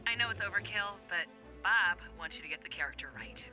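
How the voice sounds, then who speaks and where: Game session director: polite, coaxing, harried, very bright mid-pitched voice. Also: female voice, lively intonation, fairly quick, faint music behind. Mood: patient, coaxing. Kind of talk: video game character acting.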